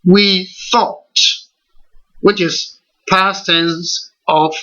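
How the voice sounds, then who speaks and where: A man's voice: high voice, fairly even intonation, sharp tone, highs cut off. A man with a Ghanaian English accent, walking learners through a computer tutorial step by step and asking them rhetorical questions. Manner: slow, deliberate step-by-step instruction with rhetorical questions. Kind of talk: screen-recorded software tutorial.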